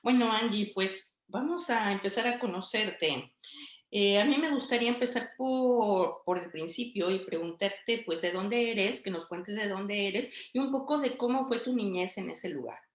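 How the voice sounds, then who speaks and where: A woman's voice: mid-range voice, unhurried, reverberant. A middle-aged woman hosting an interview, introducing her guest and asking questions with many hesitant fillers. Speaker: host and interviewer.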